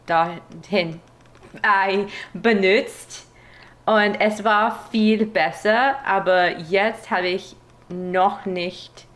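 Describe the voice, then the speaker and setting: A woman with a foreign accent chatting casually in a language that is not her own, pausing to search for words. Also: mid-range voice, steady tone, fairly bright tone. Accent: non-native, foreign-accented German. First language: not German; speaks it as a foreign language. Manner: halting, pauses to search for words. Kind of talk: casual language-practice chat.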